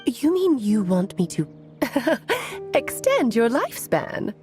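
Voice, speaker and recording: An actress playing a whimsical, caring storybook magician in a video game, with an expressive, musing, theatrical delivery. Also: female voice, on the high side, takes it slowly, faint music behind, full character voice. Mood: puzzled, amused. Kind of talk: dramatic game voice acting.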